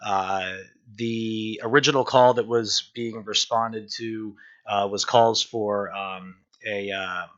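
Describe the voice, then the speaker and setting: Bright-voiced man hosting a live stream. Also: lowish voice, fairly even intonation. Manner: halting, thinking aloud. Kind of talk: casual live breaking news commentary. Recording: dull lo-fi stream audio.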